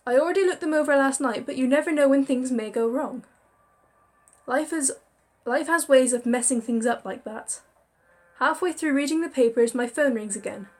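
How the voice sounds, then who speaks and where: A woman reading a visual novel aloud with expressive storytelling and chatty asides. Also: high-pitched, moderate pace. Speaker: game narrator.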